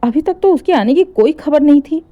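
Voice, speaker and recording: A woman's voice: high voice, lively intonation, moderate pace, muffled recording, pitched above the performer's own voice. A woman voicing a distraught young mother pleading anxiously for her children in an emotional story.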